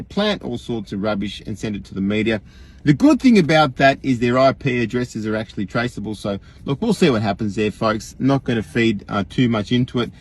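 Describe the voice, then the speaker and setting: Man imitating a husky, animated Australian online guru. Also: mid-range voice. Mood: earnest, alarmed. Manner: folksy, emphatic, rhetorical questions, frequent chuckles. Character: imitation of an earnest, rambling online conspiracy guru. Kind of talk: mock livestream monologue.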